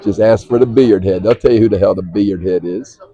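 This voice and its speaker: A man's voice: fairly low pitch, quick pace, mellow, lo-fi sound. A man commentating on a pool match in a casual, jokey way, swearing and laughing often. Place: pool hall.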